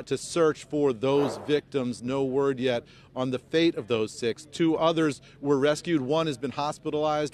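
A man in his fifties with an American accent reporting live as a news correspondent into a handheld microphone outdoors. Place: outdoors by a highway among press crews. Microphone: handheld microphone held close. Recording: outdoor live report, rumbling background noise. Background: low rumbling outdoor noise.

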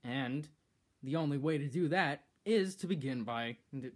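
A teenage boy hosting a comedic skit in a living room, bossy and exasperated, bursting into shouts, recorded on a camera mic a few feet away. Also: fairly high pitch, lively intonation, unhurried. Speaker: host. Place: decorated living room indoors.

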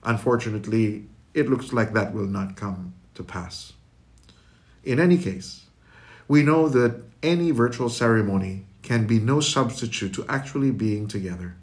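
A middle-aged man, a school official, delivers a prepared commencement address in a formal, measured, eloquent manner. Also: on the low side. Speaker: school official giving closing remarks. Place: indoor room with curtains, recorded address.

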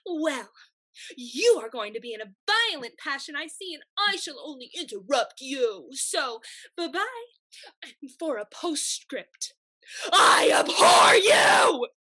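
A spirited young wife of high society in period stage comedy. Her voice is high and bright, swooping around as she taunts with mock sweetness. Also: sing-song, slow, heavily acted. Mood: spiteful. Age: young adult. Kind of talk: theatrical classical stage comedy acting. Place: home room, video call.

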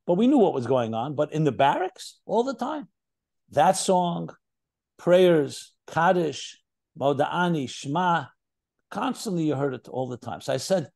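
Earnest American rabbi, mellow voice. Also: male voice, a little high, animated, unhurried, vocal fry. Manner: earnest, searching, speaks in short phrases with restarts. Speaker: rabbi leading an online session. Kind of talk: reflective spiritual talk. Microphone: video call.

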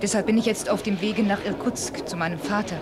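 A woman's voice: medium pitch, fairly even intonation, fairly quick, heavy background noise, natural voice. An actress playing an earnest, determined young woman in a radio play. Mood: earnest, resolute. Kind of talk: German radio play acting.

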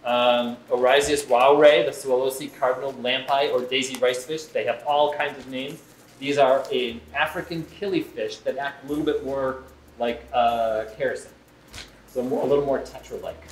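A man's voice: mid-range voice, steady tone, moderate pace, reverberant, a little hiss or hum, poor audio. A man giving casual, chatty and enthusiastic commentary as host of a shop's unboxing video. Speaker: aquarium shop host. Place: indoors in an aquarium shop.

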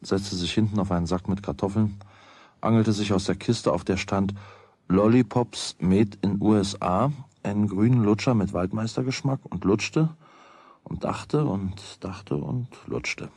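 Wry storyteller, really low husky voice, kids radio play, chatty and musing. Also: male voice, unhurried, darkish tone, no room sound. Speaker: narrator. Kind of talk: German children's radio play narration.

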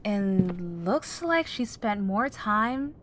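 A woman's voice: medium pitch, animated, very slow, slight background noise. A woman playing a matter-of-fact young girl who mixes Spanish into English in an audio drama.

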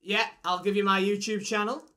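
A man's voice: high-pitched, level tone, brisk, pushed and bright. A British man in his twenties chatting casually with strangers as a magician. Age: 20s. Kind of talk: casual chat with strangers on video. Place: small room at home.

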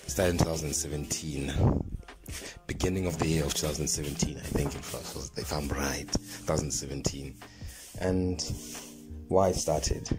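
A man's voice: very deep voice, steady tone, normal speed. A young man from Zimbabwe with a Zimbabwean accent, chatting casually to camera in an informal storytelling way, like a vlogger speaking close into a phone. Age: young adult. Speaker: vlogger, drift fan page host. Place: small plain indoor room. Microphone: phone held close to the mouth. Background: background music.

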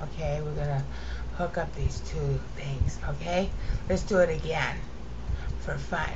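A woman teaching informally, explaining and chanting Greek verse in a drawn-out sing-song way. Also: sits low, steady tone. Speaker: amateur Bible teacher. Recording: muffled lo-fi recording with background noise.

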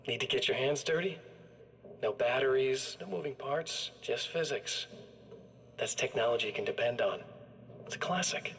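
A man's voice: mid-range voice, normal speed, pauses often, fairly bright tone, slight background noise, natural voice. A man playing a sadistic evil-twin killer in a video game, chatting with smug, casual menace. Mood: smug relish. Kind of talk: video game monologue acting.

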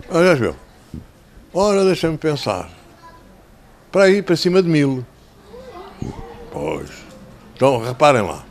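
Slightly hoarse older Portuguese man. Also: fairly high pitch, darkish tone, a little hiss or hum. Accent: European Portuguese. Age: 60s or older. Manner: didactic, conversational, repeats phrases for emphasis. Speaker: children's author. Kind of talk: talking and telling stories to schoolchildren. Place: covered outdoor tent in a town square. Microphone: handheld microphone.

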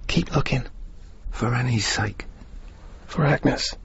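A dead soldier's ghost in a radio drama. The man pleads to be remembered, urgent and plaintive. The recording is muffled, with clear background noise. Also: mid-range voice, slow. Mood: pleading.

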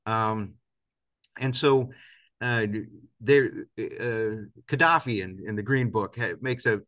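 A man's voice: fairly low pitch, slow pace, a bit bright. A man in his fifties being interviewed on a video call, talking reflectively and emphatically with rhetorical questions. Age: 50s. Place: indoors, large office-like room, on a video call.

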